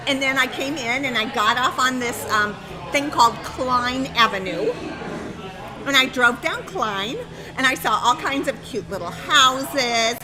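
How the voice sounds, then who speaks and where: A woman's voice: sits high, rough recording. A woman voicing a chatty, scatterbrained woman makeup artist to the stars, rambling and giggly. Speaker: comic guest. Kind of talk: improvised comedy talk show bit.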